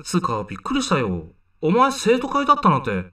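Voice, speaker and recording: Man acting a bright, high-pitched flustered schoolboy. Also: huge pitch swings, a little fast, recorded from a distance, exaggerated voice. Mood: baffled. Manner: casual, sceptical, exclamatory. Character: flustered, flippant male high school student. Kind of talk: amateur voice drama acting.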